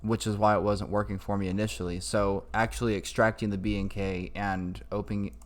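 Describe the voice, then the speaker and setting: A man talking through a casual, improvised software tutorial in an informal way. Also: on the low side, level tone, fairly quick. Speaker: tutorial maker. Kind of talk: casual screen-recorded tutorial.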